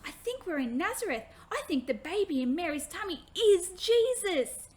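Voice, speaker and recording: A woman's voice: extremely high pitch, sing-song, normal speed, voice pushed up. A woman voicing a thoughtful young boy in a children's story, earnest and matter-of-fact. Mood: awed.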